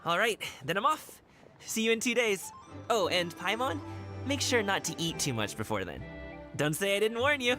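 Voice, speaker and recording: A man's voice: sits high, sing-song, moderate pace. A man playing an eager, friendly young delivery worker in a video game, breezy and generous. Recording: music behind the voice.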